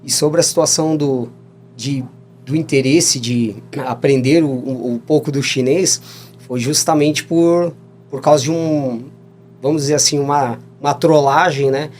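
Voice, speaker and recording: A man's voice: on the high side, steady tone, a little slow, darkish tone, soft background music. A Brazilian man in his thirties, a podcast guest telling stories in a rambling casual way, often adding "né?" and laughing along. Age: 30s. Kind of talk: casual storytelling interview.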